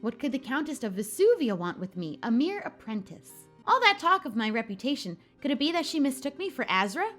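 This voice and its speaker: A woman's voice: high-pitched, very animated, brisk, few pauses, a bit bright, soft background music. A woman hosting a gaming video, playfully reacting and reading story narration aloud with drama. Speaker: host of a gaming channel. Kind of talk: let's play commentary with dramatic read-aloud narration.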